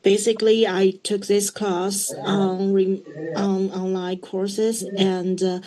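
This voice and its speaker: A woman student giving a halting introduction on a video call. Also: mid-range voice, level tone, crowd noise, poor audio. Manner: hesitant, halting.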